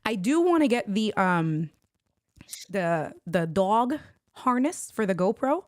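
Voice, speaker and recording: A woman's voice: mid-range voice, takes it slowly, dry recording, studio quality. A woman with a New York accent hosting a livestream, talking bluntly and animatedly, a little sick and coughing. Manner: animated, blunt, slips into Spanish. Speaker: livestream host. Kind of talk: casual chat.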